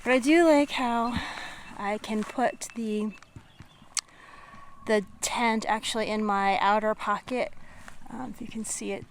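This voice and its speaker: Slow, musing woman vlogging outdoors. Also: mid-range voice. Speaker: hiking vlogger. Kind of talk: casual vlog chat to viewers. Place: outdoors, on a walk and at a tent setup. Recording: noisy outdoor camera recording with natural ambience.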